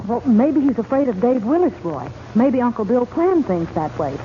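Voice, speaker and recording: A woman's voice: on the high side, very animated, moderate pace. An actress playing an earnest, level-headed ranch woman in a vintage radio western. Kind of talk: 1940s-50s radio western acting. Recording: muffled old radio recording with hiss.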